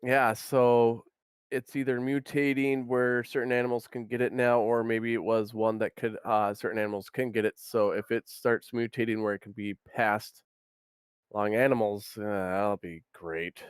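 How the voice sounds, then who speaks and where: A man's voice: fairly low pitch, fairly even intonation, moderate pace, smooth, dry recording, studio quality. A man from Minnesota co-hosting a podcast, talking casually with many hesitations. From Minnesota, USA. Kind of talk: casual chat.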